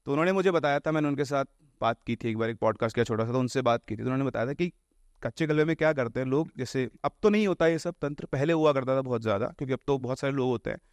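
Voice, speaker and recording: A man's voice: medium pitch, very fast, no room sound, clean studio sound. A man telling ghost tales casually in an explanatory way, mixing in English words. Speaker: storyteller on a horror podcast.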